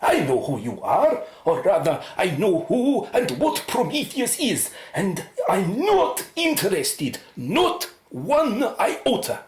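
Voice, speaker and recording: A woman's voice: medium pitch, huge pitch swings, slow pace, few pauses, darkish tone. A woman playing a proud, principled male research scientist in a radio drama, with formal, emphatic diction. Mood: indignant, defiant. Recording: roomy echo, faint noise.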